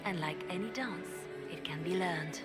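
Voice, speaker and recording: A woman voicing a shrewd, poised female spymaster in a video game, speaking coolly with a knowing, quietly sardonic edge. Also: lowish voice, moderate pace, close to an everyday voice. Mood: wry. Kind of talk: video game cutscene dialogue. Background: orchestral music.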